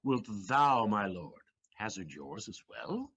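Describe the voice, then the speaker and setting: A man's voice: on the low side, sing-song, takes it slowly, fairly bright tone, breathy edge, exaggerated voice. A man acting a shrewd, sardonic, swaggering servant in an audio play, with declamatory, mocking delivery and theatrical emphasis. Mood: defiant.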